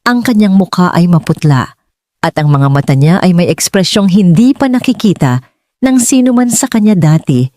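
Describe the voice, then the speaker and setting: A woman's voice: fairly low pitch, expressive, normal speed, mellow, dry recording, studio quality. A woman with a Filipino accent narrating a scripted dramatic story as an audiobook reader.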